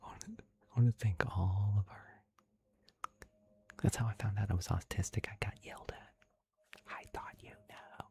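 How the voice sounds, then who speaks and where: Low gravelly whisperer hosting a stream. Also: fairly even intonation, fairly quick, pauses often. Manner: soft whispering, chatty, giggly. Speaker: livestream host. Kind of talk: whispered ASMR livestream chat.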